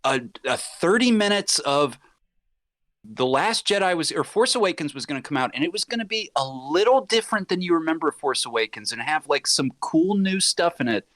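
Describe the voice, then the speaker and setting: A man with a slightly high, very animated voice chats casually on a podcast about films. He riffs freely and drops into mock quotes. The sound is dry, with no room echo. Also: normal speed. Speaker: podcast guest.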